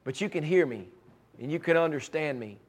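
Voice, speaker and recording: A man preaching a sermon, his voice raspy from cheering at ball games and allergies, with a fervent way of building to emphatic, repeated lines. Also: a little high, expressive, fairly quick. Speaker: pastor.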